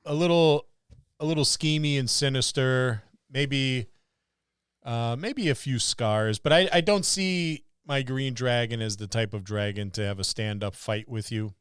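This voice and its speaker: A man co-hosting a podcast, talking casually about tabletop gaming in short, considered phrases with pauses. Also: medium pitch, a bit bright, clear, resonant tone, no echo, studio quality. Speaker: podcast co-host.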